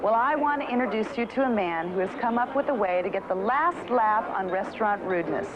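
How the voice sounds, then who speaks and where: A woman's voice: medium pitch, lively intonation, fairly quick, lo-fi sound, noisy background. A woman presenting a television segment with scripted narration. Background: music.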